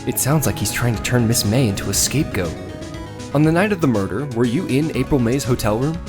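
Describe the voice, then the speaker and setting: A man acts out a keen young defense attorney from a video game dub. His mid-range voice is animated and dramatic, slipping into wry, exasperated asides. Soft music sits behind him. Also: mid-range voice, fairly quick, natural voice. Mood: probing.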